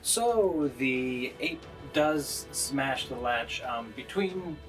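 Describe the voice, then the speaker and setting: A man acts as game master, narrating a tabletop game in a casual, halting way with frequent pauses. His pitch is in the middle range for a man. Music plays clearly behind him. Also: sing-song, a bit bright, low-quality recording. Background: background music.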